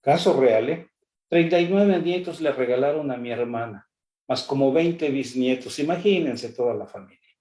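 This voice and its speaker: An older man with a Mexican accent giving an animated conference lecture on law, firing off rhetorical questions. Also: mid-range voice, moderate pace. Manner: animated, rhetorical questions, addresses an imagined lawmaker. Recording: echoey room.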